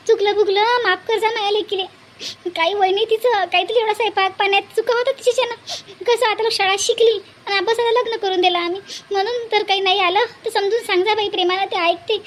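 A woman voicing a worried, humble middle-aged mother of a bride in a cartoon, imploring and apologetic, with a Varhadi Marathi accent and pitched-up voice. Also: steady tone, quick pace. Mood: worried, pleading. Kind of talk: homemade cartoon family drama.

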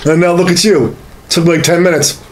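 A man in his thirties with a somewhat high voice, bantering as a podcast co-host. He tells stories in a brash, sweary way, recorded in a small home studio. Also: steady tone, brisk, echoey room, slight background noise. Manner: brash, profane. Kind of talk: casual banter and storytelling. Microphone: desk boom microphone.

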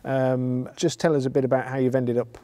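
A man's voice: medium pitch, flat delivery, a little fast, warm tone. A man in his fifties from England hosting an interview, speaking in a considered, hesitant way with many restarts, on a lapel mic in a studio hall. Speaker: interviewer host. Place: studio hall with a grand piano.

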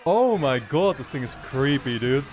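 Young dude reacting to a show, medium pitch, slangy and thinking out loud, close mic. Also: male voice, very animated, moderate pace, a little hiss or hum. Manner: informal, slangy, thinking aloud. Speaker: anime reaction YouTuber. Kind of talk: casual reaction commentary. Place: small furnished room, indoors. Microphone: studio mic on a boom arm, close.

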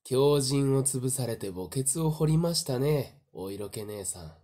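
Smug young male schemer for audio drama, played by a man: suave, mocking, slow, swooping delivery. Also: mid-range voice, expressive, dark tone, lightly acted. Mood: smug, probing. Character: smug, theatrical young male madman relishing a deadly game. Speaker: voice actor. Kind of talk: anime-style voice drama acting.

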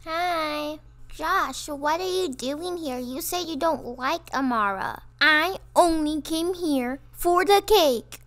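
Young child party guest played by a woman for a toy-game story: tiny high voice, unhurried, simple, blunt chatter. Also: fairly bright tone. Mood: chatty.

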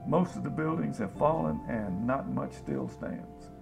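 An actor playing a folksy, plain-spoken, hopeful scavenger in a radio drama about survivors of a disaster. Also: male voice, mid-range voice, a little slow, vocal fry, over music. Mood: reflective, matter-of-fact. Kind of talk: radio drama, interview monologue.

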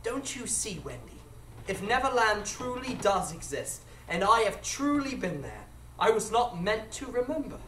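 A man's voice: high-pitched, very animated, moderate pace, a bit bright, slight background noise. A man playing a melancholy, disillusioned man in a live radio drama, plaintive and florid in his speech. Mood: anguished, resigned. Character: Michael Darling, melancholy, disillusioned grown man. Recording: distant echoey live stage.

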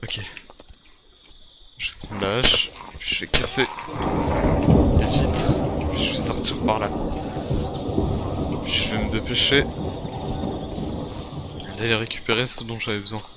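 A man's voice: lowish voice, steady tone, a little slow, pauses often, very noisy. A man improvising in-character commentary on a survival game, muttering to himself and repeating his phrases. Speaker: gamer playing a zombie survival roleplay series.

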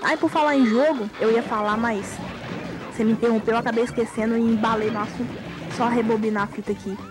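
A woman's voice: on the high side, steady tone, fast talker, low-quality recording. A young woman joining a gameplay video as a guest, chatting in a playful way and speaking straight to the viewers. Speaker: guest co-player. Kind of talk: casual chat over gameplay. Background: video game music.

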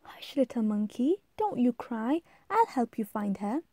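A woman's voice: a little high, sing-song, unhurried, dark tone, dry recording. A woman voicing a helpful, confident butterfly in a rhyming storybook, eager and encouraging. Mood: soothing. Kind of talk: rhyming storybook read-aloud.

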